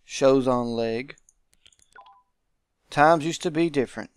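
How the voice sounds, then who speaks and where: Man voicing a gruff, embittered old soldier. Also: medium pitch, slow, no room sound, clean studio sound, natural voice. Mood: bitter, grumbling. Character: gruff embittered male veteran stuck in office service. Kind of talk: visual novel character read-aloud.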